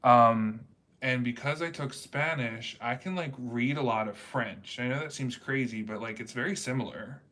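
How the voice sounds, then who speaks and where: A man talking in a relaxed, rambling way as he hosts a casual livestream, filling his sentences with small asides. His voice sits at an ordinary middle pitch for a man. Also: moderate pace. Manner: rambling and chatty, many fillers. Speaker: livestream host reading chat. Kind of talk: casual chat.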